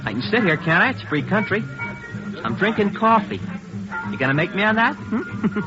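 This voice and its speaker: High-voiced cocky American teenage punk. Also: male voice, big pitch swings, moderate pace, music in the background. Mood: insolent, mocking. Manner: smart-mouthed, slangy, insolent. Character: cocky, mocking sixteen-year-old male street punk. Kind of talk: 1950s radio drama acting. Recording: muffled old radio recording.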